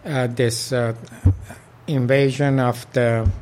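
A man's voice: mid-range voice, little pitch movement, slow, darkish tone. An older man from Iran, a local club president, recalling his past in an oral history interview with many fillers and restarts.

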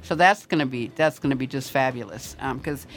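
A woman's voice: rumbling low, brisk, slight background noise. An older woman, a retired master gardener, chatting on the radio and giving advice with many restarts and emphatic asides. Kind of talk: casual radio chat.

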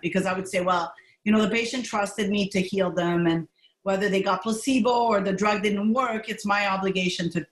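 A middle-aged woman doctor from Montreal talks in a lively, candid way, often breaking into laughter. Her voice sits at an ordinary pitch for a woman. It rings with a noticeable room echo. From Montreal, Canada. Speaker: gastroenterologist guest. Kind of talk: conversational podcast interview.

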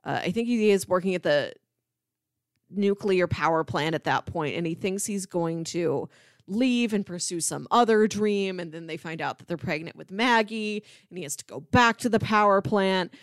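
A woman's voice: on the low side, moderate pace, no room sound, studio quality. A woman co-hosting a casual podcast, explaining things informally with many asides. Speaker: podcast co-host. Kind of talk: casual chat about sitcom episodes.

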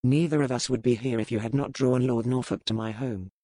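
A stern, brooding pirate captain, as a man reads him in measured, formal old-style speech. The tone stays cold and deliberate at a middling pitch. It is recorded close and dry, with no room sound at all. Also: darkish tone, dry recording, close to an everyday voice. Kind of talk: audiobook character reading.

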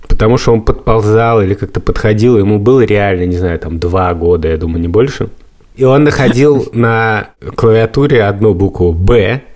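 A man's voice: lowish voice, normal speed, darkish tone. A man hosting a podcast, leading a casual conversation and telling anecdotes. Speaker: podcast host leading the discussion.

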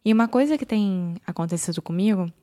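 A woman's voice: mid-range voice, animated, fast talker, dark tone. A woman with a Brazilian accent, chatting casually as a podcast co-host. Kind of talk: casual chat.

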